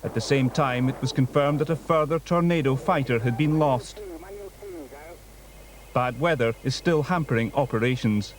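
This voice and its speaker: A man's voice: medium pitch, normal speed. A man with a British accent voicing a scripted news report.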